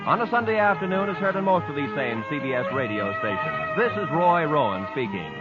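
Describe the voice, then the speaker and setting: High, lively male announcer, tinny old radio. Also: fairly quick. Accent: American. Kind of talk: 1950s radio announcing, scripted. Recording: tinny 1950s radio over music.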